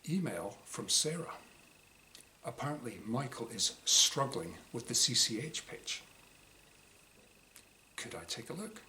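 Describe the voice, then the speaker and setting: A man reads a first-person diary story as its author, with a bright, forward voice. The delivery is wry and exasperated, shaped like a performed monologue. Some background noise sits behind him. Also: mid-range voice. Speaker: author reading own short story.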